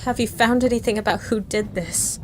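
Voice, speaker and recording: A woman's voice: fairly high pitch, brisk, music in the background, close to an everyday voice. Woman acting a troubled young female cartoon pony. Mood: troubled, questioning. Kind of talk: fan fiction audio drama.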